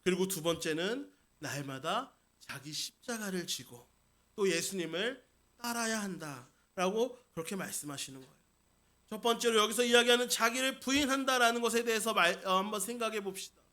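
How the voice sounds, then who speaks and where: A man's voice: high-pitched, expressive, moderate pace, sharp tone. A man preaching a Sunday sermon as senior pastor in a church service. He speaks emphatically, addresses the congregation directly and repeats key phrases. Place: church sanctuary during a service.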